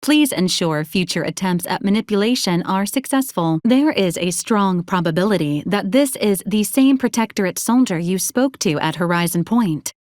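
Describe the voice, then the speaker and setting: Woman voicing a deadpan, polite robot assistant, dry sound. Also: mid-range voice, normal speed, hardly any pauses, no echo, natural voice. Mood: cool, advisory. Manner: formal, clipped, matter-of-fact, clinically detached. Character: polite, deadpan female robot companion unit assisting a commander. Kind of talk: scripted video game character lines.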